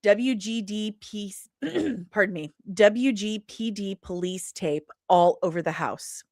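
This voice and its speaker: Unhurried, playful woman hosting a stream. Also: medium pitch, lively intonation, a little mellow, no room sound, studio quality. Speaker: host and comic author. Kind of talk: livestream chat and dramatic script reading.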